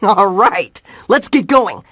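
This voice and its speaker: Earnest high-pitched teenage hero. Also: male voice, sing-song, slow, a bit bright, husky, no echo, clean studio sound, heavily acted. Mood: determined. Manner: earnest, youthful, determined. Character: heroic teenage ghost boy, earnest and determined. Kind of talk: cartoon video game acting.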